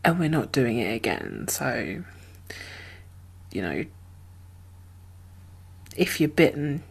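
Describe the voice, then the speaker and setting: A woman speaking softly and often whispering in an ASMR roleplay, gentle and halting, as a guarded but caring apocalypse survivor. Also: a bass voice, very animated. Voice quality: soft, breathy, often whispered. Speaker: ASMR performer playing a role. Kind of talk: soft-spoken ASMR roleplay.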